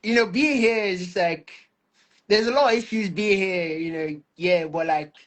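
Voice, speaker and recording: A man's voice: high-pitched, normal speed, a bit bright. A man in his twenties who grew up in Lagos, a musical artist talking easygoingly as a guest on a video call. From Lagos, Nigeria. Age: 20s. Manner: easygoing, many "like" and "you know" fillers. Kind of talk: casual interview chat.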